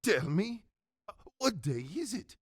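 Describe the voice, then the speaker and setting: A man acts out an old miser overcome with giddy joy in a radio play. The voice sits fairly high and leaps about in animated swoops, with stammered, repeated exclamations. The sound is dry and close. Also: slow, husky, no echo, heavily acted. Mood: giddy, overjoyed. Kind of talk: theatrical radio drama acting.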